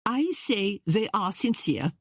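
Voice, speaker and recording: An imperious middle-aged queen from a historical novel, acted out by a woman narrator. She is scornful and declarative, with a husky edge and swooping, animated pitch. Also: slow, no room sound, clean studio sound. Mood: self-assured.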